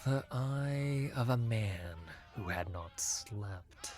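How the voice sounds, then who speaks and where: A man narrating a fantasy story as a dramatic audiobook, in a measured, solemn manner. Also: mid-range voice, expressive, darkish tone, faint music behind.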